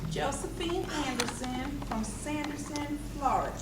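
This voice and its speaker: A woman's voice: sits high, a little slow. Lady narrating a stage reading, echoey room, far from the mic, noisy background. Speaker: readers theater narrator. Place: indoor chapel, live performance.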